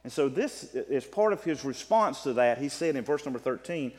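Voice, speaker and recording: A man preaching a sermon, teaching from the Bible in an emphatic way that swings between loud and soft. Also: mid-range voice, expressive, normal speed. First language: English. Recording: long reverb of a hall.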